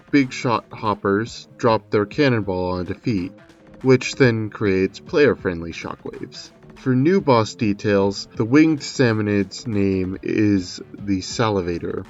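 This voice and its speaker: A man's voice: fairly low pitch, highs cut off. A man narrating a scripted video game analysis, with conversational asides thrown in. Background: music.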